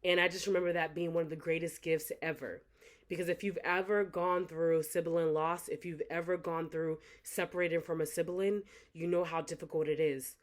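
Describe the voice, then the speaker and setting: A woman's voice: lowish voice, fairly even intonation, normal speed. Earnest young woman giving motivational talk. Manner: earnest, reflective, repeats phrases for emphasis. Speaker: author thanking supporters. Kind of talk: motivational direct-to-camera talk.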